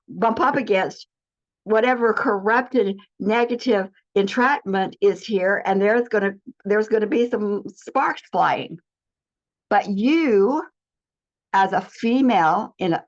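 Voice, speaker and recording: Earnest woman teaching spiritual lore. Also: medium pitch. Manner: earnest, declarative, with frequent restarts. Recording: slightly dull lo-fi recording.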